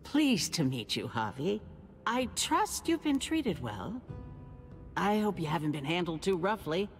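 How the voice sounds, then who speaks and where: An actress playing a courteous, persuasive female settlement leader in a video game, speaking with genteel, measured politeness. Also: fairly low pitch, sing-song. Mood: gracious, teasing. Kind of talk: naturalistic dramatic game acting. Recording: music under the voice.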